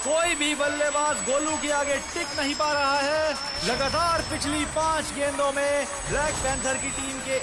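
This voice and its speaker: A man voicing an excited cricket commentator in a cartoon. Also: moderate pace. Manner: booming, exclamatory. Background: music.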